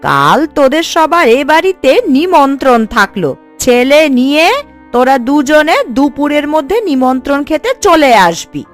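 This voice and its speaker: A woman's voice: sits high, expressive, moderate pace, soft background music. A woman voicing a bossy, affectionate elderly village aunt in a cartoon, with an emphatic, scolding, colloquial manner. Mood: firm, motherly. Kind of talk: Bengali cartoon story dubbing.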